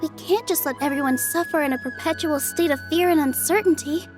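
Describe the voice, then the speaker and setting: A woman voicing a gentle, shy young dancer who sounds soft-spoken and unsure of herself, in a video game with music behind her. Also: sits high, a little fast, close to an everyday voice. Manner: soft-spoken and self-doubting.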